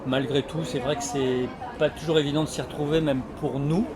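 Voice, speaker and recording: A man explaining his association's work in an interview, halting often as he searches for words. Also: a little high, fairly even intonation, noisy background. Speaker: energy renovation association representative. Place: community associations forum in a sports hall. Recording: loud crowd hubbub at a fair. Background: loud crowd.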